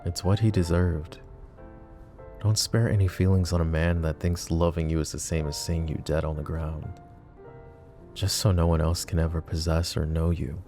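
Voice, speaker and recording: Possessive mafia boss, deep and gravelly. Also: male voice, normal speed, faint music behind. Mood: menacing. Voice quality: low, soft, close-mic murmur with whispers. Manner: calm, controlled, coolly threatening and doting. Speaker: voice actor. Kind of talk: roleplay ASMR audio drama. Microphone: close ASMR microphone.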